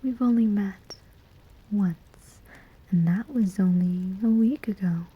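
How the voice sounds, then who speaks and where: A woman's voice: medium pitch, phone-quality sound. A woman playing a sly, menacing female demon who collects souls, in a teasing whispered ASMR roleplay. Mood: bargaining, challenging. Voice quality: breathy whisper. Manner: slow, deliberate, teasing.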